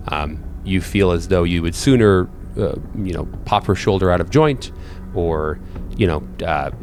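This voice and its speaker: Low-voiced man running a tabletop game. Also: darkish tone, a little hiss or hum. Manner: halting, repeats words while thinking aloud. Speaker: game master. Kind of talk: improvised narration of a tabletop horror game.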